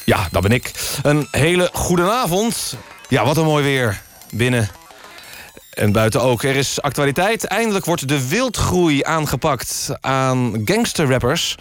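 A man presenting satirical news as a deadpan radio magazine host. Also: mid-range voice, a little slow, faint music behind.

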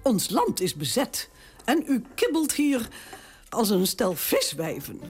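Stern old queen, high-ish voice, bossy and cutting. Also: sing-song, slow, a little mellow, husky, soft background music, heavily acted. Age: older. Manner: stately, commanding and cutting. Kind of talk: radio drama acting.

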